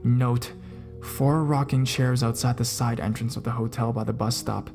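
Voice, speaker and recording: A man calmly narrating reader-submitted ghost stories in a measured, scripted way over guitar music. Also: medium pitch, vocal fry. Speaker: narrator of a ghost story channel.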